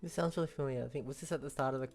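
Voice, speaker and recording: A woman's voice: rumbling low, rapid-fire, darkish tone. A woman streamer giving casual, offhand, giggly reactions while playing a game. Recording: faint game music behind.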